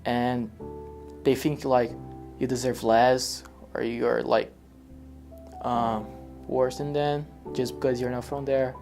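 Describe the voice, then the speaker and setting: Man, hesitant, searching for words, guitar playing clearly behind. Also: medium pitch, level tone, takes it slowly, long pauses, a little mellow. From Brazil. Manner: hesitant, many fillers and restarts. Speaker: exchange student. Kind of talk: documentary interview.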